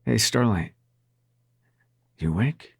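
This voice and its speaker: A man's voice: lowish voice, lively intonation, slow pace, vocal fry, breathy edge, dry recording, clean studio sound, exaggerated voice. A man playing a cocky, sarcastic demon prince with a tender side in an intimate audio roleplay, speaking casually with teasing and swearing. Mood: playful, teasing. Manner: casual, sweary, teasing, hesitant when sincere.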